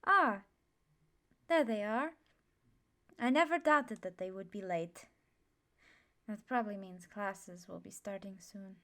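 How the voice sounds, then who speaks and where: A woman's voice: medium pitch, takes it slowly, long pauses, bright, forceful tone, voice pushed down. A woman playing a friendly, confident young female student mage, chatty and forthright, in an ASMR roleplay. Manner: chatty, forthright, self-assured. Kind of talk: ASMR roleplay, casual introduction.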